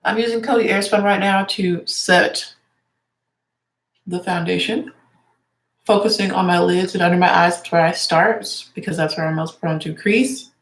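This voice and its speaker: Young woman, stuffy nose, low-pitched, chatty and rambling, roomy echo. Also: fairly even intonation, moderate pace. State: congested. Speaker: beauty vlogger and teacher. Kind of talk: casual get-ready-with-me chat. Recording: echoey room.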